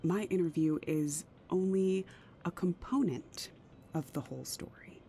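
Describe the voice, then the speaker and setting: A troubled, guarded career woman in an intimate audio drama. Her voice is lowish and soft-edged, measured and confiding. A faint rumble sits behind her. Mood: anxious, confiding. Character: careful, troubled career woman, secretly a mother.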